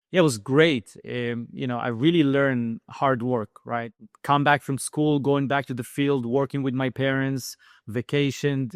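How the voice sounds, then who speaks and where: A man from Israel with an Israeli accent, speaking as a podcast guest in a thoughtful, unhurried way, often repeating phrases. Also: medium pitch, dry recording, studio quality. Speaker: podcast guest, business founder. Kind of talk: reflective interview talk.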